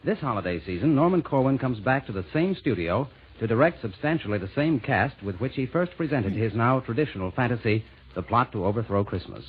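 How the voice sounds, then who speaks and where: A man's voice: mid-range voice, expressive, brisk, phone-quality sound. A man making a formal, scripted 1940s radio announcement, heard on an old broadcast recording.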